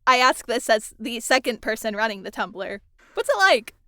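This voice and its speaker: A woman's voice: a little high, big pitch swings, moderate pace, bright, forceful tone, no echo, clean studio sound. A woman hosting a casual interview, chatting in a playful, joking way. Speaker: podcast host and interviewer.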